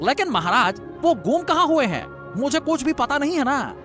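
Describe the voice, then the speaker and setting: An actor voices a wily male villager in a storybook drama, with very lively, swinging pitch. He is theatrical, fawning and falsely humble, repeating himself over soft music. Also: moderate pace. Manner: obsequious, theatrical, repetitive. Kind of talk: melodramatic storybook audio drama.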